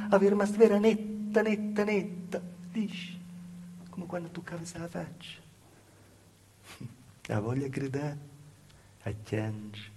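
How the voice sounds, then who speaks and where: A male stage actor with a Calabrian accent playing a plaintive, naive southern peasant woman recalling a hard childhood in an intimate, halting, repetitive dialect monologue. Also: mid-range voice, a little mellow, slight background noise, natural voice. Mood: anguished, then sorrowful.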